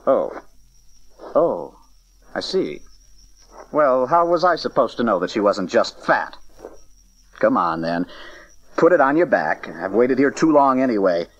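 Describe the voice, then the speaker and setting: An actor playing a brash, bossy but good-humored Roman soldier in an audio Bible drama, gruff and blustering. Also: male voice, mid-range voice, very animated, unhurried, long pauses. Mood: gruff, bemused. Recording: dull, slightly noisy cassette tape.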